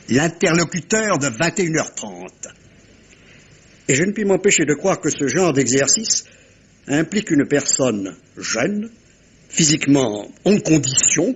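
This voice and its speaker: An actor playing a self-assured, politely probing little Belgian detective in a theatrical French radio mystery. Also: male voice, fairly high pitch, husky, exaggerated voice.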